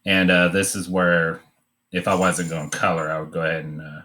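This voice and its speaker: A man giving an informal drawing demonstration, talking in a hesitant, halting way as he thinks aloud. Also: low voice, little pitch movement, sharp tone. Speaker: art demonstrator.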